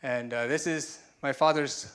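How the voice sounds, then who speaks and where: A man's voice: mid-range voice, steady tone, unhurried, a bit bright, smooth. A man in his forties from Taiwan gives a chatty, self-deprecating conference talk with slides and chuckles at his own jokes. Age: 40s. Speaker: conference speaker. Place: stage in a conference room.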